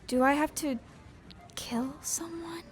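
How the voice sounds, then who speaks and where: A woman's voice: high voice, slow pace. A woman playing a bewildered, timid young female zombie in an audio drama, hesitant and full of questions. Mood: uneasy. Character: bewildered, timid newly risen zombie girl. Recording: clear background noise.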